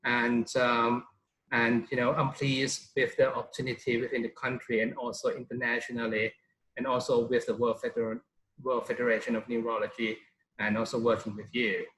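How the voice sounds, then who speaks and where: A man's voice: mid-range voice, flat delivery, moderate pace, a bit bright, reverberant, rough recording. A middle-aged man from Thailand, a neurologist answering interview questions with frequent "you know" fillers and restarts.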